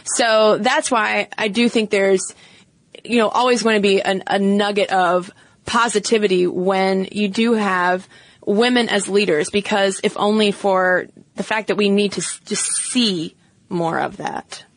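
A woman's voice: mid-range voice, steady tone, a little slow, no echo. A woman co-hosting a podcast in casual chat, thoughtful and hedging with fillers as she talks. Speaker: podcast co-host.

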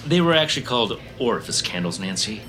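An actor playing a smug, chummy corporate boss in a comedic audio drama, pompous and patronizing. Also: male voice, fairly low pitch, sing-song, fast, pushed and bright, soft background music. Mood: patronizing, brisk.

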